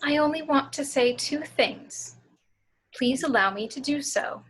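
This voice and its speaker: A woman voicing a possessed, motherly woman with slow, deliberate, soothing menace in an amateur table read. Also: high-pitched, a bit bright. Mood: eerily tender. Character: sinister motherly woman possessed by a vengeful ghost.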